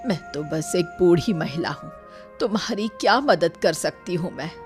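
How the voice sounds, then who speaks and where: A woman voicing a weary, lonely, kindly old village woman in a cartoon story, with a slow, resigned manner. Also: lowish voice, animated, darkish tone, soft background music, close to an everyday voice. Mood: wary, kindly. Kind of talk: Hindi moral-story cartoon dubbing.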